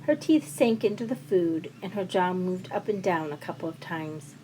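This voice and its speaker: A woman's voice: on the low side, expressive, moderate pace, mellow, low-quality recording. A woman reading scripted fiction as a storyteller. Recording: faint background ambience noise.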